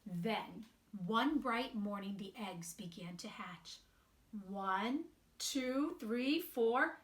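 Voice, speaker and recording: A woman reading a children's picture book aloud in a deliberate, expressive way with emphatic pauses. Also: a little high. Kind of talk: read-aloud children's storytelling. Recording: roomy, echoing sound.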